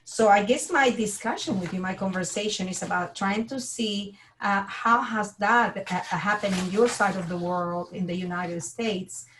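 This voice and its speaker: A woman's voice: medium pitch, normal speed, hardly any pauses, a bit bright, a little hiss or hum, poor audio. A woman from Peru interviewing a colleague over a video call, speaking hesitantly with many fillers and restarts. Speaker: interviewer, family therapist. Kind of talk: conversational interview.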